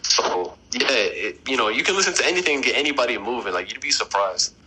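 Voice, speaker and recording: A man's voice: mid-range voice, fast, a little hiss or hum, poor audio. A young man in his twenties, a podcaster and rapper, chatting loosely about his music and family over a headset video call from a small room. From United States. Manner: relaxed storytelling, loose fillers. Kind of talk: casual interview chat.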